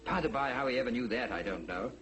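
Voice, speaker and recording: An actor playing a gentle, cheerful poor clerk and family man in a 1940s television drama, earnest and expressive. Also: mid-range voice, quick pace, close to an everyday voice. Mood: earnest, subdued. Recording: noisy old 1940s TV soundtrack.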